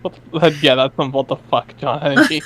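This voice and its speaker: A man's voice: a little high, steady tone, fairly quick, fairly bright tone, poor audio. A man in casual banter during a group reading, wry, jokey and sweary.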